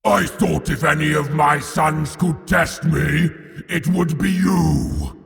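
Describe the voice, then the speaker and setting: An actor in an audio drama playing a huge, brutal, scornful winged demon lord who bellows slowly. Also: male voice, fairly high pitch, lively intonation, vocal fry, a bit bright, full character voice. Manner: slow, bellowing, contemptuous. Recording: long cavernous reverb, faint music behind.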